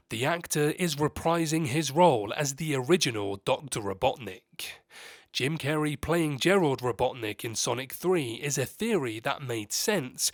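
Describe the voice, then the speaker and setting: A man's voice: medium pitch, no echo. Man narrating scripted movie news, dry close sound. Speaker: host of a movie news channel.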